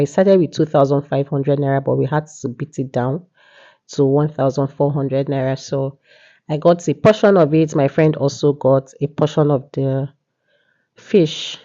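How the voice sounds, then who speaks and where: A woman's voice: deep voice, warm tone, lo-fi sound. A woman vlogger with a cold and a congested voice, chatting casually and often saying "yeah" and "you know". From Nigeria. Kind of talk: casual market vlog narration.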